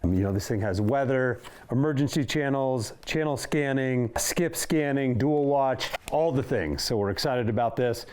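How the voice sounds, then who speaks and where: A man's voice: medium pitch, normal speed, few pauses, a little mellow, clear, resonant tone. A man giving a casual, hands-on product walkthrough in an informal way, talking as he handles items. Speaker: product reviewer for a radio shop.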